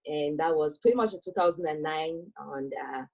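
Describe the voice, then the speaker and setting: A woman, speaking as a guest on a video call. her voice is fairly low and mellow, and she tells her story thoughtfully, pausing on lots of "um"s and "uh"s. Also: gravelly. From Cameroon. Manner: thoughtful, with many fillers and soft laughs. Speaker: guest, electrical engineer. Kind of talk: personal storytelling in an interview.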